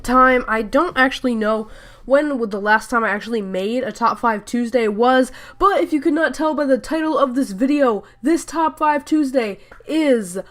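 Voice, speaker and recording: A woman's voice: a little high, normal speed, fairly bright tone. A young woman with a stuffy nose and sore throat, rambling through a chatty gaming voice-over full of fillers and self-corrections. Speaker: gaming YouTuber. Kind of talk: casual voice-over commentary.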